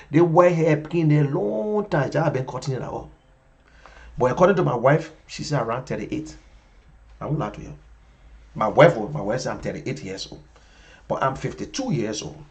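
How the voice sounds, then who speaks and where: A man in his fifties with a Liberian English accent, giving an impassioned political talk show monologue, emphatic and repetitive, swinging between loud bursts and quiet asides. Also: a little high. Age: 50s.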